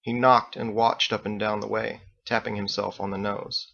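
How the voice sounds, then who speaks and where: A man's voice: on the low side, flat delivery, moderate pace, gravelly, a bit bright, highs cut off. A man reading his own story as a scripted audiobook narration.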